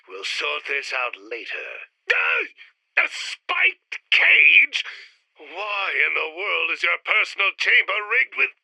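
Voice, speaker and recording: An actor playing a vain, exasperated mad-scientist villain in a retro sci-fi radio serial, gravelly, pompous and sardonic. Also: male voice, high voice, huge pitch swings, takes it slowly, bright, forceful tone, no room sound, heavily acted. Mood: flustered exasperation.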